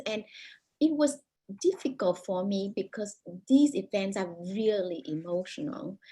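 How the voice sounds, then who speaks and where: A woman with a Vietnamese accent, a native Vietnamese speaker, answering interview questions as an author over a video call, thoughtful with many pauses and restarts. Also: medium pitch, darkish tone, slightly rough, poor audio. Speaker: author, guest.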